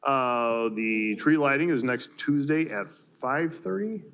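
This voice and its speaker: An American man giving an informal meeting report in a halting way, with frequent fillers. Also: mid-range voice, fairly bright tone. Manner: halting, filler-heavy delivery. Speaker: mayor giving board updates at a council meeting. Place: large council chamber, indoors. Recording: clear background room noise from meeting chamber.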